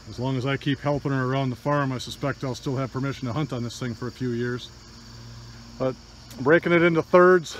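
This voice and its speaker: A man's voice: medium pitch, slight background noise. An older man from Michigan giving plain, practical how-to narration while walking a rural field with a phone camera. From Michigan, USA. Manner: plain, practical, matter-of-fact explaining. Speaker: DIY hunting vlogger. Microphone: phone camera held while walking. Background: crickets and insects.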